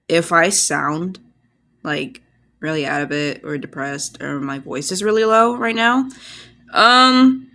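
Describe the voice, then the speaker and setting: A woman's voice: medium pitch, a bit bright. A woman chatting casually to an iPad microphone in a rambling, self-deprecating way, like a hobbyist vlogger. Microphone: iPad built-in microphone.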